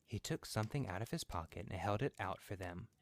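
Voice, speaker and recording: A man's voice: sits low, animated, a little fast. A man reading a literary story as scripted narration for a podcast.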